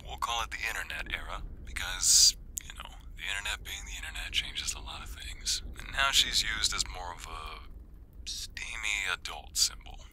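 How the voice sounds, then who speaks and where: Sly, caring male love spirit, acted by a man, low and very bright-edged, hesitant, slightly whispery. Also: animated, moderate pace, a little hiss or hum, full character voice, low-quality recording. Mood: apologetic, coaxing. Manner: hesitant, coaxing, conversational. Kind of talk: ASMR roleplay acting.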